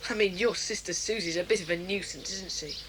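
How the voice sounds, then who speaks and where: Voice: medium pitch, a little fast, fairly bright tone, slight background noise, close to an everyday voice. A performer playing a friendly, inquisitive schoolboy in a children's audio drama. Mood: curious, dismissive.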